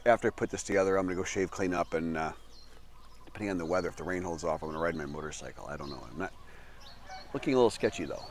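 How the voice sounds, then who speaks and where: An older man from the Midwestern US talking casually to camera, rambling, correcting himself and chuckling as he goes, outdoors under a metal roof. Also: on the low side. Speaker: vlogger living abroad.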